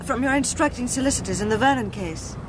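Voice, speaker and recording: A young solicitor played by a woman in a radio play, earnest and businesslike. Her voice sits fairly high over clear car and street noise. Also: fast, natural voice. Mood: brisk. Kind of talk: radio drama dialogue.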